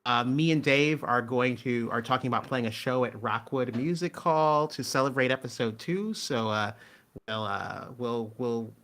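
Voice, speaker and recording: A man's voice: medium pitch, moderate pace, fairly bright tone. A man chatting casually and earnestly about his own creative work, in a wordy way. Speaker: show creator and guest. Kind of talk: casual chat.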